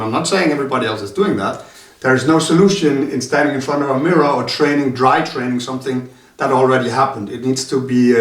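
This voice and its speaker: A man's voice: mid-range voice, fairly bright tone. Male instructor, hesitant interview guest, roomy far-off sound. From Denmark. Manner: halting, many filler sounds. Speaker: martial arts instructor. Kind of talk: casual interview talk. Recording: roomy sound from a distant mic.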